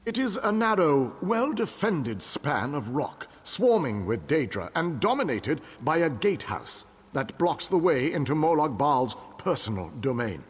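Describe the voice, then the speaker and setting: A husky, earnest fantasy war counsellor. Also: male voice, fairly high pitch, sing-song, full character voice. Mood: serious. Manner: grave, measured, urgent briefing. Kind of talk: video game character acting. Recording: long hall-like reverb.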